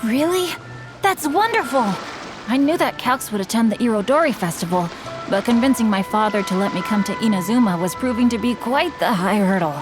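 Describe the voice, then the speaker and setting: A woman's voice: on the high side, lively intonation, brisk, close to an everyday voice. A woman playing a polite, bookish teenage young master in a video game, with courteous, eloquent and slightly formal speech. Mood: delighted. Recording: background music under the voice.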